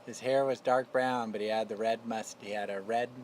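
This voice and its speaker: A man in his fifties, from Texas, tells stories from his childhood in an easygoing, halting manner full of fillers. His voice sits at an ordinary pitch for a man. He is recorded outdoors in a backyard, with faint outdoor noise behind him. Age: 50s. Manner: relaxed, reminiscing, halting with fillers. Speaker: interviewee. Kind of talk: casual family interview storytelling.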